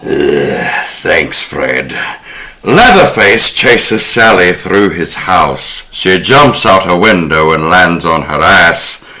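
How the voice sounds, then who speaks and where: A man's voice: sits low, expressive, slow, bright, forceful tone. A man hosting a horror film podcast, speaking in a wry, sardonic way. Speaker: podcast host. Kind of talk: horror film recap and casual discussion.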